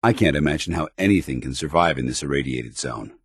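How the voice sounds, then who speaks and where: An actor playing a stern, earnest, dutiful male soldier in a video game, speaking with formal, measured military diction. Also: very deep voice, lively intonation, slightly rough, no room sound, studio quality, heavily acted. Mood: dutiful, helpful. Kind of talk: video game voice acting, companion dialogue.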